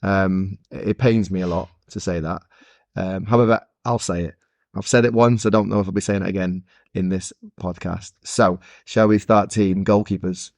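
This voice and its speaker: A man's voice: low-pitched, fairly even intonation, moderate pace, darkish tone, no room sound, studio quality. A man co-hosting a casual football podcast, teasing his friends with mock-grudging banter. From Scotland. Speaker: podcast co-host.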